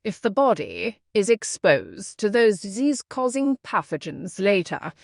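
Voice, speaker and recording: A woman reading practice passages aloud in a measured, scripted style. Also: mid-range voice, very animated, vocal fry, no echo, studio quality. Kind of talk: measured read-aloud of exam texts.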